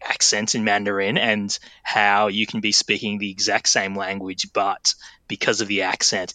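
Man, bright tone, reflective storyteller. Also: on the low side, no echo, low-quality recording. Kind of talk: casual chat.

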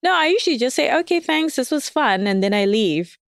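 A woman's voice: high voice, expressive, fairly quick, creaky, dry recording, clean studio sound. A woman guest in casual conversation, candid and self-deprecating, laughing often as she talks. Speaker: podcast guest. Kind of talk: casual dating chat.

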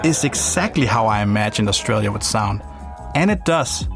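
A man's voice: fairly low pitch, expressive, moderate pace, creaky, over music. Danish man narrating a reflective podcast. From Denmark. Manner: reflective, gently playful storytelling. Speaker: podcast host.

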